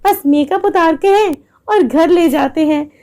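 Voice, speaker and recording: A woman's voice: very high voice, a little slow, darkish tone, pitched above the performer's own voice. A woman voicing a selfish, vain young sister in a story, pert and a little whiny. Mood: surprised, eager.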